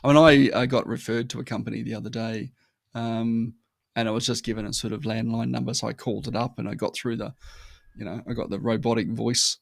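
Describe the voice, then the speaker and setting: A man with an Australian accent, a guest on a marketing podcast who talks in a lively, anecdotal way. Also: medium pitch, fairly quick, gravelly, no room sound, studio quality. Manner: animated storytelling, acts out customer voices. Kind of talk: conversational interview.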